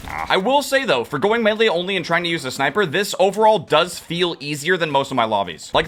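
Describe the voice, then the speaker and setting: A young man commentating his own online shooter gameplay, excitable and quick to rage, his voice getting worn from yelling. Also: on the high side, a little hiss or hum. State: losing his voice. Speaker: gaming youtuber.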